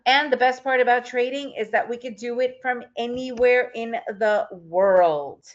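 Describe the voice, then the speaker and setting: A woman's voice: on the high side, unhurried, dull audio. A middle-aged woman who grew up in the United States, with Russian as her first language, presenting a trading webinar in an upbeat, chatty way and laughing easily.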